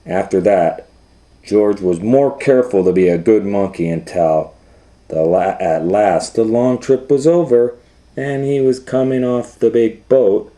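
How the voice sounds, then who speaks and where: Low-voiced man reading a children's storybook. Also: measured pace, warm tone. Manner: conversational, explains things simply to a child. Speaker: storytime host and reader. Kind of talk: animated children's storybook reading with explanatory asides.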